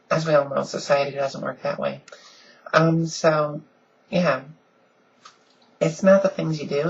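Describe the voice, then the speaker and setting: A woman with a deep voice she softens a little, talking earnestly and casually in a home vlog with lots of ums. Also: vocal fry, highs cut off, slight background noise. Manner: frequent "um"s, earnest and conversational. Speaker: vlogger. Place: at home, in pajamas.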